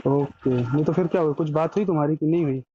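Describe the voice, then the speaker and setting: A man's voice: a little high, quick pace, warm tone, a little hiss or hum. A man chatting casually and colloquially on a recorded phone call.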